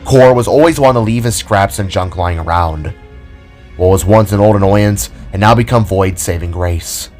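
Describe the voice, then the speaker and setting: A man's voice: on the low side, moderate pace, a little mellow, faint music behind. A man narrating a scripted audio drama as a dramatic storyteller.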